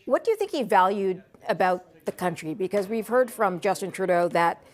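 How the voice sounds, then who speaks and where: A woman's voice: medium pitch, lively intonation, normal speed, darkish tone. A woman with a mid-range voice conducts a news interview. She sounds attentive and conversational, building on what she hears and easing into her questions.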